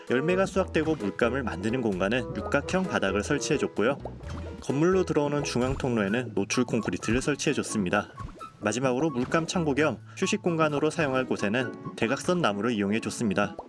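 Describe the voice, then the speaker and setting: A man narrating a game building video in a calm, polite, explanatory voice-over. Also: medium pitch. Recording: voice-over with clear background music.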